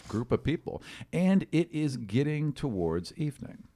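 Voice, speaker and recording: A man's voice: fairly high pitch, sing-song, normal speed, mellow. A man improvising tabletop game narration as game master, with theatrical emphasis and conversational asides.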